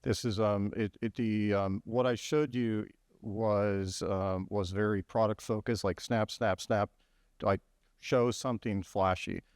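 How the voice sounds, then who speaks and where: A man on a conference panel answering a question about his AI tool, halting often and restarting sentences. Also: on the low side, steady tone, a little mellow, smooth, no echo, clean studio sound. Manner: halting, many ums and restarts.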